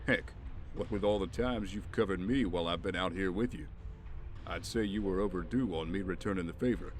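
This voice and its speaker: A man playing a gruff, good-humored stand-in vigilante archer in an audio drama, with dry, bantering delivery. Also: sits low, a little fast, slight background noise, lightly acted. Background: music.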